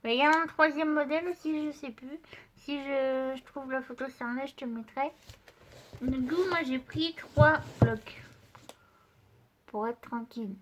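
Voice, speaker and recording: A woman with a high voice, stuffy and congested from a cold, talks in a casual, chatty way straight to her viewers. She rambles a bit with frequent fillers while handling craft supplies, and a faint hiss sits under the recording. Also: normal speed. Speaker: art channel host. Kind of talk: casual craft haul and paper test.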